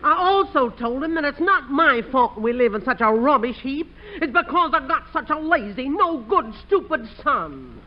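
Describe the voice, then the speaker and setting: Very shrill-pitched scolding mother. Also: big pitch swings, moderate pace, few pauses. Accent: American. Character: scolding, long-suffering country mother of grown son. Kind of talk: 1940s radio comedy acting. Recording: noisy, muffled 1940s radio recording.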